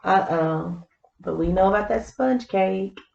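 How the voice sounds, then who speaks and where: Female storyteller, explanatory children's read-aloud, medium pitch, roomy and slightly dull recording. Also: a little slow, creaky, a little mellow. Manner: explanatory, addressing young listeners. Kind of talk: scripted storybook narration with simple asides.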